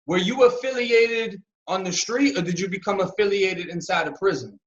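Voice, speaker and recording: A young man hosting a casual interview about prison life, relaxed and friendly, with street slang. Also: sits high, normal speed. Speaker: podcast host, former prisoner. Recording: echoey, dull lo-fi room.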